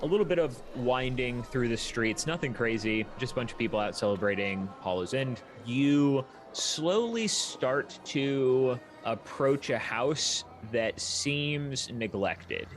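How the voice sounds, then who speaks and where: A man's voice: mid-range voice, expressive, slow pace, hardly any pauses. A man narrating a tabletop roleplaying game as its game master, describing scenes and asking for checks.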